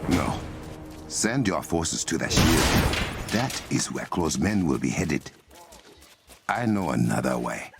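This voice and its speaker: A man playing a regal warrior king in a video game, speaking with an African accent in a measured, formal, commanding and dryly wry way. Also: very deep voice, very animated, vocal fry, exaggerated voice. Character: regal male warrior king, masked hero protecting his land. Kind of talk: video game dramatic voice acting. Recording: loud game music behind.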